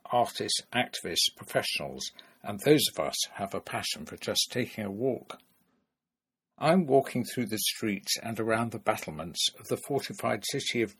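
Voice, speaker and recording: A man's voice: fairly low pitch, fairly bright tone, breathy edge. A man hosting a walking podcast, interviewing a guest while walking outdoors through city streets. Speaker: podcast host. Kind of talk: scripted intro then casual interview.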